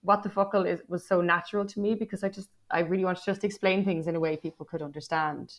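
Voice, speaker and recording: A woman's voice: fairly low pitch, fairly even intonation, fast. A woman in her thirties from Dublin with a Dublin Irish accent, talking candidly and self-deprecatingly as an interview guest, with many "like" fillers. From Ireland, Dublin. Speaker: guest, poet. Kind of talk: casual interview chat.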